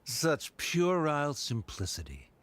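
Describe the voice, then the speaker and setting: An actor playing a haughty, scornful scholar in a video game, with pompous, condescending delivery. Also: male voice, fairly high pitch, wildly swooping pitch, unhurried, a bit bright, heavily acted. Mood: disdainful.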